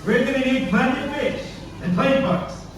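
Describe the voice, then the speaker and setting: A man acts a brisk military penguin boss for a puppet show. The pitch is high and the manner clipped and commanding. It sounds distant and heavily echoed, with faint noise. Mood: scheming. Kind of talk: scripted puppet show character acting.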